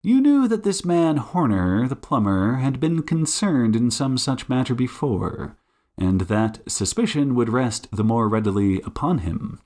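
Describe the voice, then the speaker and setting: A suave, commanding detective, acted by a man, speaks slowly with wide, theatrical swings in pitch. He is shrewd and politely condescending, with a mocking edge, as in a dramatized audiobook. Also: sing-song, warm tone. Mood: stern, accusing. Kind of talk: audiobook character acting.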